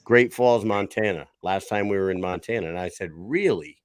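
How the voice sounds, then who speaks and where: A man's voice: lowish voice, moderate pace, background noise. A man hosting a casual fight-talk show, chatty and rambling, often restarting his sentences. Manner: chatty, rambling, self-correcting. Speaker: host.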